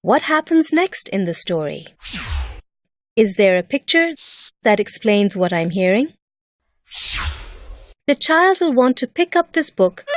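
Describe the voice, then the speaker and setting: A woman narrating a scripted educational lesson in a measured, deliberate and expressive way, like a teacher explaining step by step. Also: medium pitch, dry recording, studio quality.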